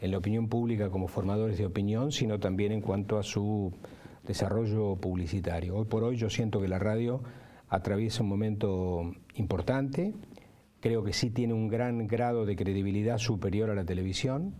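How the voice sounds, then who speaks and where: A man's voice: fairly low pitch, fairly even intonation, normal speed, dark tone. A man who works in radio, talking reflectively in an interview. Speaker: radio professional.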